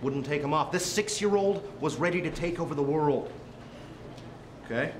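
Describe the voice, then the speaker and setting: A man's voice: fairly high pitch, normal speed. A man performing a confessional comic monologue with earnest, emphatic delivery. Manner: emphatic, earnest, repeats words for effect. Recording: echoey room with clear background noise.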